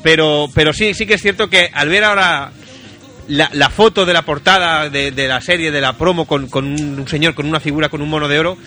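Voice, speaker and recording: Man, Spain accent, bright forceful highish voice, excitable rambling radio host, swears freely. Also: a little fast. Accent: Spanish from Spain. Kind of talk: casual, chatty radio talk. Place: radio studio, at a microphone.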